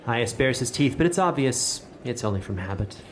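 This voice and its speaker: A man's voice: medium pitch, lively intonation, normal speed. Male game master, mid-range, faint noise. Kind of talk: scripted narration and casual banter.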